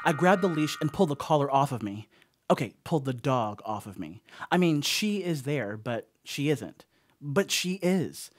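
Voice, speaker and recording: A man's voice: medium pitch, unhurried. An actor playing a stoned male dog-sitter who tells a spooky mishap in a conversational, wry radio horror monologue. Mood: tense, animated recounting. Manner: conversational, wry, animated asides.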